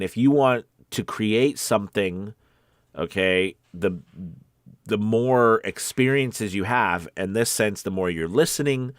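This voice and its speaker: A man's voice: on the low side, dry recording, studio quality. A man coaching voice-over in a casual, conversational live talk, encouraging in manner, with a slight case of the sniffles. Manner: encouraging, conversational, often checks in with "okay" and "right". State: sniffly with a cold or weather sniffles.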